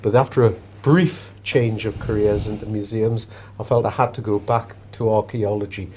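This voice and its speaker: A middle-aged archaeologist calmly narrates, speaking in a measured, plain way with the odd filler. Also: male voice, lowish voice, a little hiss or hum. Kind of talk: recorded narration of career.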